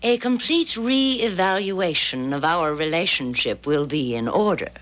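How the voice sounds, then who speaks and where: An actress playing a cool, manipulative blackmailer in a 1970s radio drama, deliberate and taunting in manner. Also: female voice, on the low side, lively intonation, very noisy. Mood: veiled threat.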